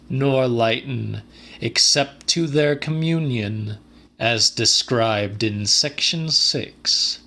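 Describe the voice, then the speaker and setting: Slow, measured male audiobook narrator. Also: mid-range voice, a bit bright. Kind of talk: slightly dramatic literary narration.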